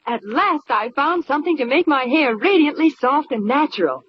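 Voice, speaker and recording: A woman's voice: high-pitched, lively intonation, moderate pace. A woman playing a delighted shampoo customer in a vintage radio commercial. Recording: dull old radio recording.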